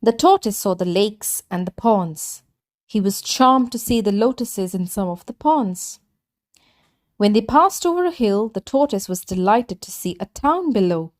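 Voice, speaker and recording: A woman storyteller reads a children's tale aloud. Her voice sits at a medium pitch, and she delivers each simple phrase deliberately. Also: lively intonation.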